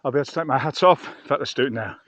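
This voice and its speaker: A man's voice: medium pitch, very fast, a bit bright, dry recording, highs cut off. A man vlogging casually as he hikes outdoors, rambling and musing aloud in a chatty way. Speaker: vlogger reviewing gear outdoors. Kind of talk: casual narration to camera while hiking. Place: outdoors on a mountain trail.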